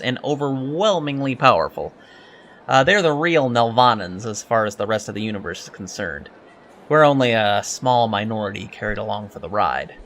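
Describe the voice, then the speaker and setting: A man's voice: medium pitch, fairly bright tone, lightly acted. A man playing an eager, emotional young alien cadet in an audio drama interview, hesitant, polite and self-deprecating. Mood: sheepish, polite.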